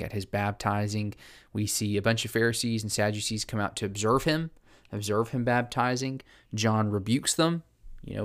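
Gravelly low-voiced man teaching scripture. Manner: rambling, full of restarts and fillers. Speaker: podcast host teaching scripture. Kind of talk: casual Bible study teaching.

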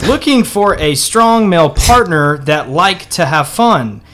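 A man's voice: fairly high pitch, animated, slow pace, fairly bright tone, slight background noise. A man co-hosting a comedy podcast in a casual, conversational style. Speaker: podcast co-host. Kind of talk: casual comedic podcast talk, reading out ads.

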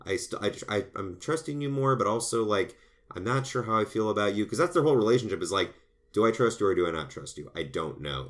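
Man, very low voice, casual chatty film-discussion co-host, restarts a lot. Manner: rambling, animated, frequent restarts. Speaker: film review podcast co-host.

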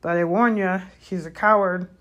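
A woman voicing a big, gruff male gamekeeper with rustic, commanding speech for a storybook reading. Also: fairly low pitch, steady tone, normal speed, fairly bright tone, close to an everyday voice. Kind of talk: audiobook character voice.

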